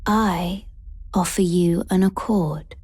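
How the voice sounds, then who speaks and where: A woman playing a solemn, mysterious young maiden in a video game, speaking calmly and formally in a breathy near-whisper. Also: fairly low pitch. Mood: solemn, gentle. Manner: slow, calm, formal. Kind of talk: video game character acting. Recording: clear ambient noise behind the voice.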